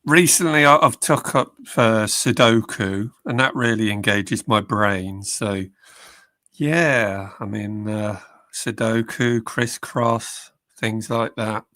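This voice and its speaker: Guy calling into a stream, bunged up with a cold, slow and halting, dry sound. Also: fairly low pitch, sharp tone, no room sound. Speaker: call-in guest on a live stream. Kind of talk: casual chat.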